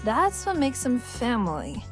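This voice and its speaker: Lady doing a drunk loudmouth girl from a visual novel, high pitched, scolding, music behind. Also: wildly swooping pitch, unhurried. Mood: scornful. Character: brash, drunken young woman, scornful and loud-mouthed. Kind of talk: dramatic visual novel read-aloud.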